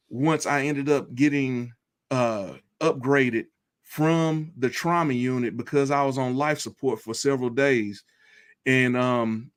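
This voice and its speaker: Earnest man giving personal testimony. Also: mid-range voice. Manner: earnest, deliberate, reflective. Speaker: guest telling his recovery story.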